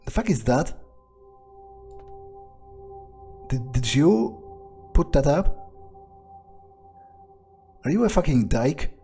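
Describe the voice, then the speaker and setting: Man playing a mellow, cynical vampire. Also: on the high side, big pitch swings, takes it slowly, faint music behind, heavily acted. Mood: startled, contemptuous. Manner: musing, hesitant, swearing. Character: cynical, suspicious, foul-mouthed young male vampire, thinking aloud. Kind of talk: in-character game roleplay monologue.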